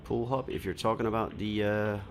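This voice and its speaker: Deep gravelly Danish pool commentator. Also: male voice, brisk, background noise. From Denmark. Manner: relaxed, explanatory, frequent "uh" fillers. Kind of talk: live pool commentary, reading viewer comments.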